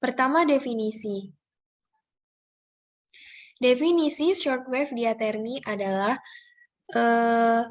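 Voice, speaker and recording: A young woman, a student giving a prepared class presentation. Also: fairly high pitch. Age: young adult.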